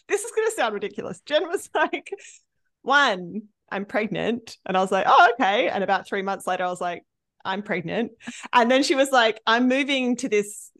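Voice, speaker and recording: A woman in her thirties with an Australian accent, a podcast guest telling animated stories and laughing between phrases. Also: on the high side, unhurried. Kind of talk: casual interview chat.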